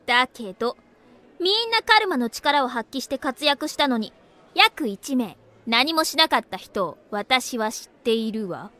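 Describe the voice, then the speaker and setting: A high, forceful voice with lively swings. She is a feisty, sharp-tongued schoolgirl in an anime audio drama. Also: unhurried, full character voice. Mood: accusing.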